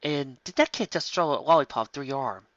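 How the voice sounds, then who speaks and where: A man reading a fan story aloud, voicing a brash, dramatic male starship captain who protests in overwrought shouts. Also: mid-range voice, expressive, a little fast, dry recording, dull audio, lightly acted. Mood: bewildered.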